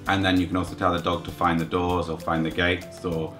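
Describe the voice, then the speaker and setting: A man's voice: low voice, little pitch movement, brisk, over music. A man in his thirties plainly explaining guide dog training, interviewed indoors by a window. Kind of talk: interview talk.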